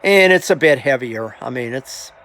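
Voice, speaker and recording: A man with a gravelly, creaky voice talking casually as a hobby video host, halting and plainspoken, often trailing off. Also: medium pitch, animated, fairly bright tone. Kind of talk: casual rambling vlog talk.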